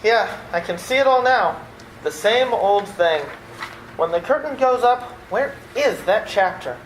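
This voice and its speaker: An exasperated nephew performed by a woman in an old-time radio play. The voice is high and animated, wry and easily set sputtering. It rings in an echoey room with slight noise. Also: measured pace. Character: exasperated, flustered nephew, an adult man.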